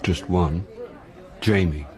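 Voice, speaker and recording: An actor playing a wry, boozy middle-aged English amateur detective in a radio drama, with a dry, droll, wordy delivery. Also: male voice, low-pitched, slow pace, some chatter behind. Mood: matter-of-fact. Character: wry, boozy, self-deprecating actor turned amateur sleuth.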